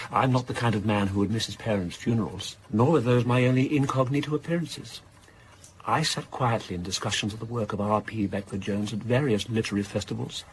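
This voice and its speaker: A male actor plays a reclusive crime writer in a naturalistic radio drama. He is guarded and cultured, choosing literary phrases in a measured, dry way at a middling pitch. Faint noise sits behind him. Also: lightly acted, rough recording. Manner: measured, literary turns of phrase, dryly wry.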